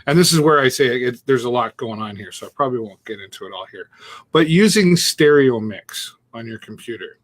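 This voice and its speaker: A man's voice: medium pitch, lively intonation, a little fast. A man co-hosting a casual live-stream chat, explaining his tech setup step by step with chuckles and trailing sentences, on a Bluetooth earpiece. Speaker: co-host demonstrating streaming setup.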